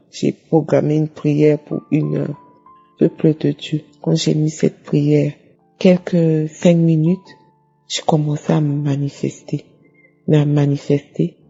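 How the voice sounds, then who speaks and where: Slow, husky woman with a gravelly, dark voice. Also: low voice, muffled recording. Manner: fervent, repeats phrases, breaks into praise. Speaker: caller giving a testimony. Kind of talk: emotional spoken testimony.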